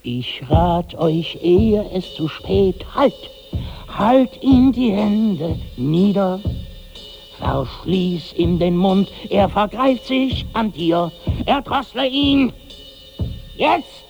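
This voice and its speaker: A conspiratorial schemer in an old radio play. His highish voice is creaky and rough, swinging widely in emphatic declamation, on a muffled recording. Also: animated, extremely slow, a little mellow, soft background music, full character voice. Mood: urgent incitement. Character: scheming, alarmed, theatrical would-be poisoner. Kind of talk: German 1960s radio drama acting.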